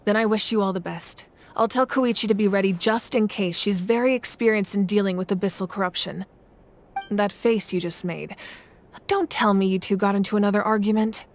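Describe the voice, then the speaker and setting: Game role: woman playing grave, formal female guide, mid-pitched and measured, clear background noise and ambience. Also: fairly even intonation, close to an everyday voice. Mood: calm, grave. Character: solemn, composed female oracle-like guide. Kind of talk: English video game voice acting.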